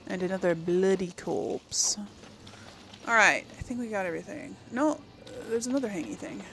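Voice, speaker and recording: A woman's voice: mid-range voice, moderate pace, a bit bright. A woman giving casual gameplay commentary, thinking aloud to viewers in short reactive remarks. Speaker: gaming video host. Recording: clear game noise behind voice. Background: game sound effects and ambience.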